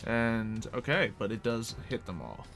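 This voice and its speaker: A man's voice: medium pitch, animated, a little slow, a bit bright. A man acting as game master, narrating a tabletop roleplay game in a casual, improvised conversational way.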